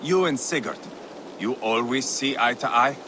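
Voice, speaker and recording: An actor playing a ruthless, mocking Viking warrior with a sardonic, taunting manner in a video game. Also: male voice, medium pitch, moderate pace, natural voice. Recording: noisy outdoor ambience behind.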